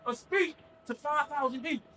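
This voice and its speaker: A man preaching loudly outdoors on a city street. He quizzes the crowd with questions and then answers them himself. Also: very high voice, normal speed. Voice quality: loud and projecting, near yelling. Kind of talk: loud open-air street preaching. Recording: far from the mic with street noise.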